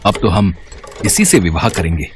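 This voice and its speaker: A man's voice: low-pitched, big pitch swings, normal speed, a little hiss or hum. A man playing a young village prince in a storybook tale, admiring, stately and decisive. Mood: smitten.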